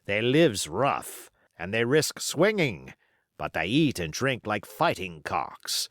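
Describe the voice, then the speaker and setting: Man performing a wily pirate cook, fifties, low pitch, swooping intonation, salty, boastful, storybook villain. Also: big pitch swings, slow, fairly bright tone, dry recording, clean studio sound, heavily acted. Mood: boastful, confiding. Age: 50s. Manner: salty, rambling, folksy boasting. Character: wily fifty-year-old one-legged pirate sea cook, boastful and flattering.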